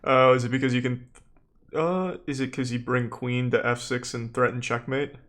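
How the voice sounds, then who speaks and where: A man's voice: mid-range voice, steady tone, moderate pace, a bit bright. A man chatting casually on a stream, thanking viewers and asking tentative questions about moves while learning chess. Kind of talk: casual live-stream chat.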